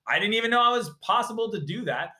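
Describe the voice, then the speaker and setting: Male guest, bright and forceful tone, emphatic storyteller, roomy sound. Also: high-pitched, lively intonation, fast talker. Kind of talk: casual interview talk.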